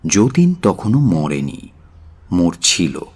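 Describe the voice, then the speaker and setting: A man narrating a dramatic audio story in a measured, solemn and expressive way. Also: low voice, slightly rough. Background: faint music.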